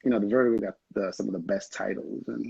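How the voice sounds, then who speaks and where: Young man from Haiti, husky low voice, dull video-call sound, relaxed rambling talk. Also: fast talker, no echo. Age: young adult. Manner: relaxed, rambling, laughs often. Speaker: guest, film director. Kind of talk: casual interview chat.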